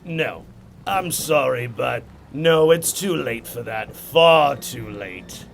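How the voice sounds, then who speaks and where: A man playing an insane, taunting villain clown in a comic-book drama, theatrical and rambling, lurching between hushed musing and shrill mania. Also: lively intonation, slow, gravelly, soft background music. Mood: quiet, resigned. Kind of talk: comic-book motion comic acting.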